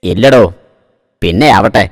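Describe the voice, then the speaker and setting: A man's voice: fairly low pitch, measured pace, breathy edge. A man voicing a self-assured but naive car owner, a comic simpleton in a cartoon. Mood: cocky, trusting.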